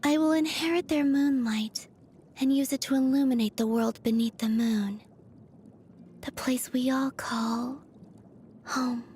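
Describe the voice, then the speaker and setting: A woman voicing a serene, gently curious young moon goddess in a video game, soft, airy and unhurried, with a dreamy, naive manner. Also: high voice, fairly even intonation, slight background noise. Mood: solemn, tender. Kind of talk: video game character voice lines.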